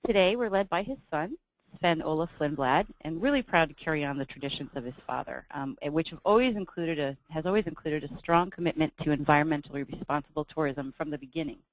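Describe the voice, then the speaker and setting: A woman's voice: low-pitched, rough recording. A woman hosting a travel webinar, reading from slides in an upbeat way with frequent ums. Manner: reads from slides, brisk and upbeat with filler ums. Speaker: webinar host for a travel company. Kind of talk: scripted sales presentation.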